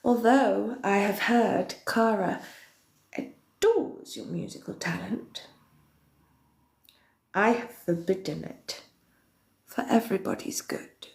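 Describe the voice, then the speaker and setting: A woman playing a centuries-old villainous queen of darkness, chatting with viewers in a slow, theatrical, often whispered delivery. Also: mid-range voice, creaky. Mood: sinister, theatrical. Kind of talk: in-character chat with viewers. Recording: roomy echo.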